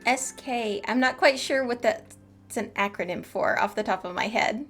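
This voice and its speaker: A woman's voice: on the high side, normal speed, fairly bright tone. An American woman from the eastern US, chatting casually and playfully as she streams gameplay. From eastern United States. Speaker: game streamer. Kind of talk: casual live gameplay commentary. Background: game music.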